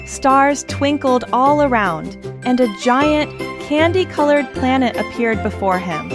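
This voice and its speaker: A woman's voice: high-pitched, expressive, measured pace. A woman reading a storybook aloud for young children, expressively and in simple phrases, as the host. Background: children's music with jingles.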